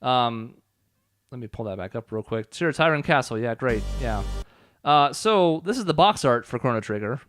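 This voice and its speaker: A man's voice: medium pitch, lively intonation, moderate pace, a bit bright, faint music behind. A man giving casual, explanatory gameplay commentary as the host of a let's play.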